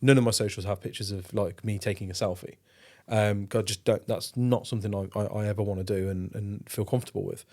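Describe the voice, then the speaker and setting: A man's voice: lowish voice, steady tone, quick pace, a little mellow. Rambling, casual man hosting a podcast. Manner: rambling, casual, ums and ahs, swears freely. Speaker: podcast host.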